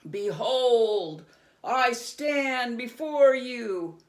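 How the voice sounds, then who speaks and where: Woman as a slow, booming, menacing goblin king. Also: lively intonation. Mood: imperious. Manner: slow, drawn-out, theatrical shouting.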